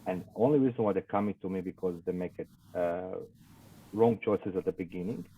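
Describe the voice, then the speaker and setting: A man with a Croatian accent, a guest in an interview, speaking English as a second language with many fillers and halting phrasing. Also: low voice, slightly rough, narrow, tinny audio. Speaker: guest, investigations business owner. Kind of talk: casual interview talk.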